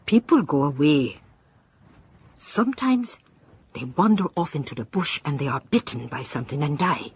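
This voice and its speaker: Very husky old Southern African village woman. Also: low voice, lively intonation, moderate pace, darkish tone, full character voice. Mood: fatalistic, musing. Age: older. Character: old village woman, opinionated, wary and fatalistic. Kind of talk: radio drama acting.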